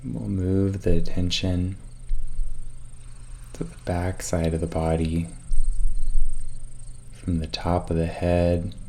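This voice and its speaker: A man leading a guided meditation, giving calm, measured instructions with long pauses between them. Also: low voice, slight background noise.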